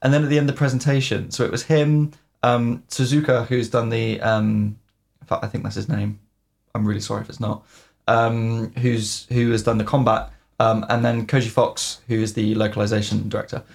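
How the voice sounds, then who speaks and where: A man with a rough, gravelly tone co-hosts a casual podcast. He talks in a hesitant way, often stopping on "um" to gather his thoughts. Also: medium pitch, flat delivery, darkish tone. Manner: hesitant, filling pauses with "um". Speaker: podcast co-host. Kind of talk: casual chat about video games.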